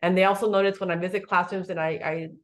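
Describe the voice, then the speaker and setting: A middle-aged woman speaks as a school superintendent in a webinar, her voice sitting a little low. She talks earnestly and often stops to restart a phrase, filling gaps with "um" and "uh". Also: steady tone, quick pace. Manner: earnest, many fillers and restarts. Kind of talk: webinar presentation.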